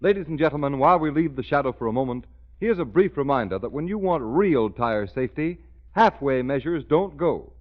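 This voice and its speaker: A man's voice: mid-range voice, lively intonation, fairly quick, faint music behind. A man announcing a 1930s radio sponsor advertisement in an emphatic, declamatory manner. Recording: tinny 1930s radio broadcast.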